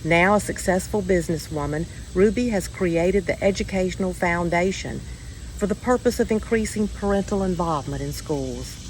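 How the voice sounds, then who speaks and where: A woman with a slightly low voice reads and talks to families as a story-time presenter. Her delivery is measured, deliberate and encouraging. A faint insect-like noise sits behind her voice. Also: a little mellow, poor audio. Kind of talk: read-aloud and earnest address to families.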